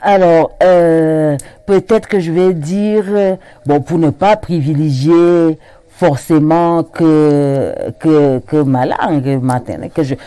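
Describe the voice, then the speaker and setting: Slow, halting Cameroonian French woman. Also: low voice, dark tone, smooth, dry recording. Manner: halting, many repetitions and restarts. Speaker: regional councillor, guest. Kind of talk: TV studio interview. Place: TV studio set.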